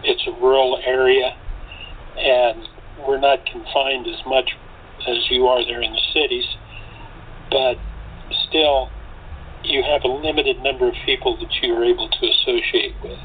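A man talking casually as an interview guest on a remote call, often pausing mid-sentence. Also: mid-range voice, fairly even intonation. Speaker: cast member being interviewed. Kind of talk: casual group conversation. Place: rural area in the middle of the country. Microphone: online call. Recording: tinny phone-like call with background noise.

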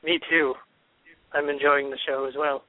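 A man's voice: on the high side, level tone, normal speed, no room sound. A man phoning in to a radio show as a regular caller, chatting casually. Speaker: caller and parody songwriter. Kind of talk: casual chat. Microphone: telephone. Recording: thin phone-line sound.

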